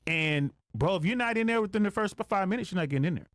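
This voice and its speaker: A man's voice: sits high, lively intonation, fast, fairly bright tone, a little hiss or hum. A middle-aged man chatting casually as a podcast guest, slangy and emphatic, repeating phrases to drive points home. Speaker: guest, retired air traffic controller.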